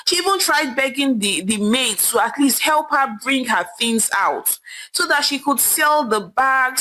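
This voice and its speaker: Female storyteller, Nigerian accent, higher-pitched and very bright, forceful delivery for dramatic folktale narration. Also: poor audio.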